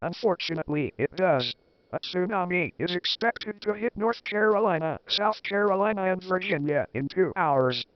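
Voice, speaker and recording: A man's voice: sits high, animated, moderate pace, no echo. A man playing a hesitant, understated emergency warning staffer breaking bad news in a scripted amateur drama. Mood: grave. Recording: dry, slightly dull lo-fi audio.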